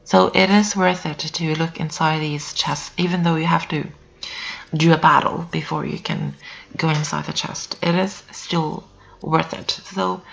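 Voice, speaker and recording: A teenage girl doing casual gameplay commentary, hoarse from just waking up, chatty and repetitive with lots of fillers. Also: lowish voice, fairly bright tone, low-quality recording. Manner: chatty, repeats herself, lots of "um" and "so". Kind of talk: casual let's-play commentary. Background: builders' noise outside.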